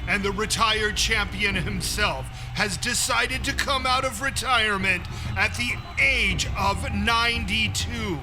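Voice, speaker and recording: An actor playing a bombastic arena fight announcer in a radio drama, with grandiose, drawn-out delivery. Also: male voice, sits high, over music. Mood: grand, hyped. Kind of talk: sports commentary in a radio drama.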